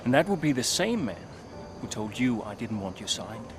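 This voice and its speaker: An actor playing a weary, dry-witted middle-aged racing driver in a naturalistic game cutscene. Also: male voice, mid-range voice, very animated, normal speed, music in the background. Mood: regretful.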